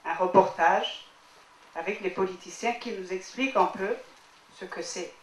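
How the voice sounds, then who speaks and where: A woman's voice: medium pitch, moderate pace, slight background noise. Woman from rwanda, audience remarks, earnest, echoey hall, distant mic. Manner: earnest, repeats herself while making a point. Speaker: audience member. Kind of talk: public Q&A remarks. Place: screening hall.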